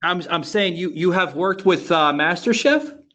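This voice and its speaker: A man from Florida co-hosting a podcast interview, talking in a halting way full of restarts and "you know" fillers. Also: high voice, expressive, pushed and bright. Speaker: podcast co-host. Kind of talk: casual interview chat.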